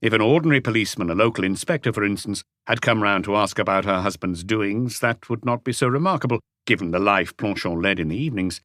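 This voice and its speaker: A man's voice: lowish voice, fast, few pauses, fairly bright tone, no echo. Male audiobook narrator, very dry sound. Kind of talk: scripted story narration.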